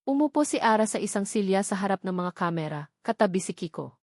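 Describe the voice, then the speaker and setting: A Filipino woman narrates a dramatic story in a scripted storytelling style. Her voice sits at a medium pitch, and the recording is dry with no room sound. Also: animated, fast, darkish tone, dry recording.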